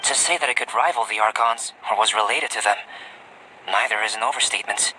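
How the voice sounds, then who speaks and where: An actor playing a calm young alchemist in a video game, speaking with polite, formal, detached precision. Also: male voice, lowish voice, brisk, slight background noise, rough recording. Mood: explanatory. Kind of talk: video game cutscene dialogue. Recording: music behind the voice.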